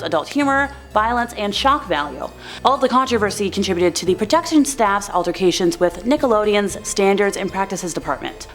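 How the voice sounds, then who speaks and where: A woman in her twenties hosting a scripted countdown video, with chatty asides and an informal, playful, folksy way of talking over background music. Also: medium pitch. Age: 20s. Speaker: host of a scary countdown video channel.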